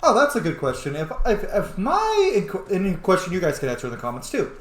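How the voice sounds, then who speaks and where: Man, higher-pitched, very animated, casual game commentary, chatty and profane, echoing room. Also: brisk. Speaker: let's player narrating gameplay.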